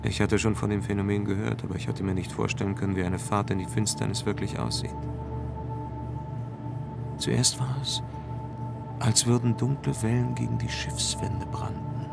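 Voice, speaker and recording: An actor playing a brooding, world-weary traveller in a German audio drama about a dark space voyage. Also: male voice, lowish voice, gravelly.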